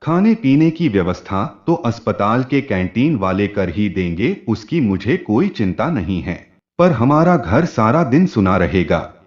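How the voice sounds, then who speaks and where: A man's voice: lowish voice, sing-song, a little slow. A man voicing an anxious, pleading young wife and mother in a family story. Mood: worried, pleading. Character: worried young wife and mother entrusting her home. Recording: dull, muffled lo-fi audio.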